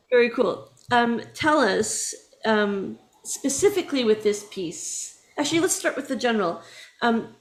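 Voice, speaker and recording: An upbeat, chatty woman hosts a relaxed interview with musicians. Her voice sits a little high and she laughs easily between questions. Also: low-quality recording. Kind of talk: casual video-call interview.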